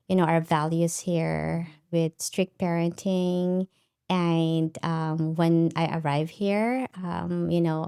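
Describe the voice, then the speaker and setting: A middle-aged woman from the Philippines, a native Tagalog speaker, tells her life story in relaxed conversation. Her voice is smooth and somewhat low, with frequent laughs and tag questions. The recording is close and dry. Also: dry recording. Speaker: podcast guest. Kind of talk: casual interview storytelling.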